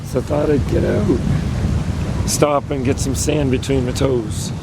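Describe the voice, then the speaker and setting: Hoarse man, rambling canoe vlog, camera mic outdoors, clear wind and water noise. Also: medium pitch, unhurried. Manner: rambling, talks to viewers while paddling. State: hoarse, voice failing from illness. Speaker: vlogger on a solo canoe trip. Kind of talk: casual talk to camera.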